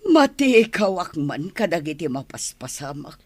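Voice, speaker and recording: A woman's voice: deep voice, very animated, moderate pace, darkish tone, dry recording. Blunt, grumbling village woman, dry sound. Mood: resentful. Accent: Ilocano. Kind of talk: comedic radio drama acting.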